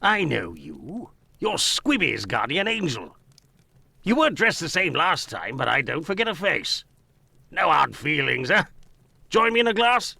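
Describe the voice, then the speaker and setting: A scoop-hungry reporter in a mystery game. The voice is highish and sharp with plenty of gravelly creak, and the delivery is chummy and boastful. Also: male voice, very animated, a little slow, dry recording, heavily acted. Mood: chummy, sly. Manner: familiar, boastful. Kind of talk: video game character dialogue.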